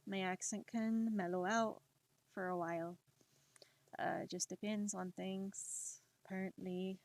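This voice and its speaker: Slow, gentle woman streamer. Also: mid-range voice. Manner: slow, soft-spoken, encouraging, laughs often. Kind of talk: casual chat while drawing on stream.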